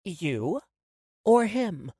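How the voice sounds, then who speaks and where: A woman voicing a calm, cruel woman who toys with her captives, speaking softly and with quiet menace. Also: mid-range voice, very slow, slightly rough, dry recording, studio quality, heavily acted. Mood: cold, menacing. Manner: soft, coolly menacing.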